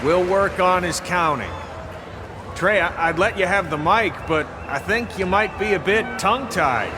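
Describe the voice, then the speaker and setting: A man's voice: sits high, unhurried. An actor playing a teasing, showy male wrestling announcer in a video game, working a crowd. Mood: mocking, showy. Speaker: event announcer. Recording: noisy venue with loud crowd.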